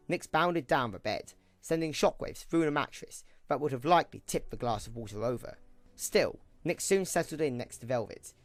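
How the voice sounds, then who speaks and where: A narrator reading scripted third-person story narration for an amateur audio drama. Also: medium pitch.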